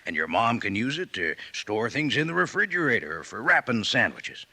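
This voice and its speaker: A man's voice: medium pitch, big pitch swings, normal speed, bright, forceful tone. Folksy sing-song radio announcer man. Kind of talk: 1950s sponsor advertisement. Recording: old radio broadcast recording.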